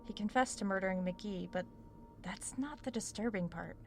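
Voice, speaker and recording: An actress playing a tired, uneasy author staying alone in a haunted house, speaking naturally with a guarded, hesitant manner in a horror audio drama. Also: female voice, mid-range voice, normal speed, faint music behind, lightly acted. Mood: pensive, uneasy.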